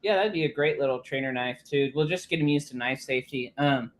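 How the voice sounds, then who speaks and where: A man's voice: medium pitch, fairly quick. A man talking casually as a guest on a live stream, speaking through swollen cheeks after dental surgery. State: swollen cheeks after wisdom teeth removal. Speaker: guest, knife counter clerk.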